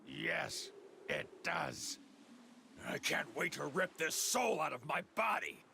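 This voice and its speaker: A man's voice: on the high side, lively intonation, slow, creaky, background noise, full character voice. A man playing a fawning, theatrical alien henchman in an anime fighting game, servile to his master and bombastic. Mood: grudging, bombastic. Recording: music behind the voice.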